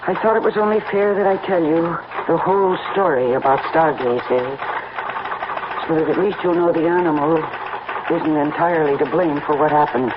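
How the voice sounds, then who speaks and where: Grieving farm heir narrating radio mystery, acted, earnest measured delivery, muffled sound with clear noise. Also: male voice, on the high side, natural voice. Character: sad, earnest horse-farm heir telling the story. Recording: muffled old radio, noisy.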